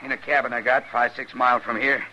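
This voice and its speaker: An actor playing a hard, defensive rancher in an old-time American radio western, with folksy rough speech and a raspy voice. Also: male voice, medium pitch, steady tone, quick pace, pushed and bright. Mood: alarmed, desperate. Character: hard, defensive male rancher turned desperate father. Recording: dull vintage radio recording.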